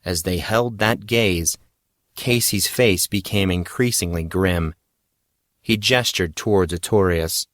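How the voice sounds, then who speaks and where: A man's voice: low voice, takes it slowly, a bit bright, dry recording, studio quality. A man reading fantasy fiction as a dramatic audiobook narrator.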